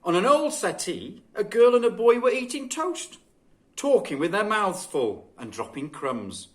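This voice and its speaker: A man reading a children's story expressively and dramatically, with deliberate phrasing. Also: high voice, sing-song, fairly bright tone. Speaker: guest storybook reader.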